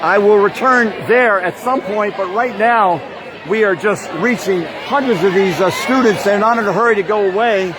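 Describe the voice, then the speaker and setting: A man walking through a campus crowd, giving halting live commentary on a protest with many "uh" fillers. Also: high-pitched. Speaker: protest livestreamer. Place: outdoors on a busy university campus. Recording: noisy crowd outdoors. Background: loud student crowd.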